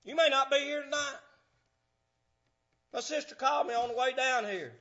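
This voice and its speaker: Pastor in his fifties, high bright voice, fiery delivery that shouts then hushes. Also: normal speed, muffled recording. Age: 50s. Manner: fervent, swings from shouting to hushed. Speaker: preacher. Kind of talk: sermon. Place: church.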